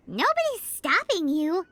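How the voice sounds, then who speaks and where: A woman voicing a small, cheeky, childlike fairy companion in a video game, with a squeaky voice. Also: pushed and bright, slight background noise, exaggerated voice. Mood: wry. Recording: music behind the voice.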